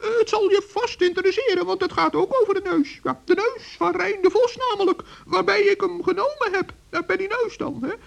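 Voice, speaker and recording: A tiny male gnome for a children's radio play, with an extremely high, squeaky voice. He chatters on, eager, naive and full of himself. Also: fairly quick, vocal fry, few pauses, full character voice. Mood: boastful. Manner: chattering, stammering when flustered.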